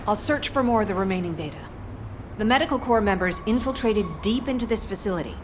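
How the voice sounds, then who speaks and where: A woman's voice: mid-range voice, expressive, lightly acted. Woman as a grave, driven soldier over background noise. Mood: resolute. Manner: deliberate, serious. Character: driven, grieving female soldier, grave and analytical. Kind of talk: video game voice acting. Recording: clear background noise and ambience.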